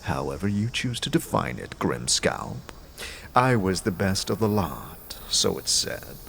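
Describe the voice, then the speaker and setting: A man's voice: deep voice, big pitch swings, measured pace, vocal fry, a bit bright, a little hiss or hum, heavily acted. A man voicing the boastful talking skull of a dead assassin wizard, wordy, grandiose and jocular, in a fantasy audio drama.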